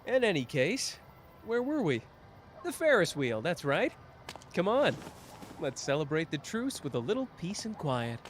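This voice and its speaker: A man's voice: fairly high pitch, very animated, a little slow, slight background noise. A man voicing a smug, playful young schemer who teases and drawls in an audio drama. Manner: teasing, sly, drawling. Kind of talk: ASMR roleplay audio drama.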